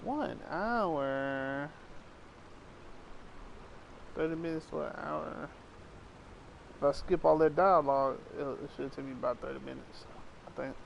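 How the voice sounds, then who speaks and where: A man's voice: a little high, unhurried, gravelly, long pauses, highs cut off, slight background noise. A man doing casual live gaming commentary, talking to viewers and muttering to himself. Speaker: game livestreamer. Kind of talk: casual live gaming commentary, reading game dialogue aloud. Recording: music playing behind the voice. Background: game music.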